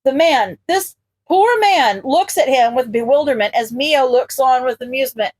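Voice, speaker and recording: A woman's voice: sits high, expressive, moderate pace, darkish tone. A woman reading a scripted story aloud as a narrator. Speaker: podfic reader.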